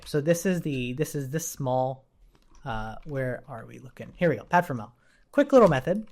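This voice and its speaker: A male programming instructor with a mid-pitched voice that moves up and down a lot. He talks informally through each step, thinking aloud and checking that the point lands. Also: slight background noise. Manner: informal, explanatory, often tags points with "right?". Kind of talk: casual step-by-step coding walkthrough, thinking aloud.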